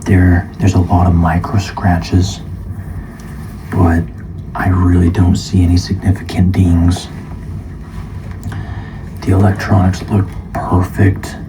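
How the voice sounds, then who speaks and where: A soft-spoken man doing an ASMR roleplay as a guitar shop appraiser, calm and measured, at times slipping into a whisper. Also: deep voice, noisy background.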